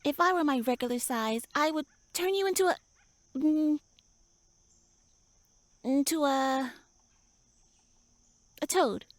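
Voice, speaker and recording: A tiny elf woman speaks in a high voice with very little room sound. She is pouty and indignant, huffing and stammering as she scolds. It plays as an intimate fantasy roleplay audio with a tsundere temper. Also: animated, slow, pauses often, no echo. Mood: pouty, flustered. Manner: flustered, indignant, huffy, stammering. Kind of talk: roleplay audio drama addressed to the listener.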